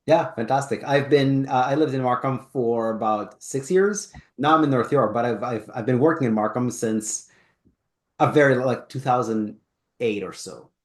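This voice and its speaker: A man hosting a podcast interview, speaking in a halting way and often repeating words. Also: medium pitch. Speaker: podcast host, personal trainer. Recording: echoey room.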